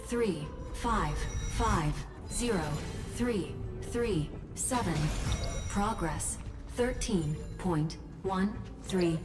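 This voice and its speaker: An impassive game computer that announces data in a very slow, formal, procedural way. Prominent music plays behind her. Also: mid-range voice, lively intonation. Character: impassive female machine system announcing archived data.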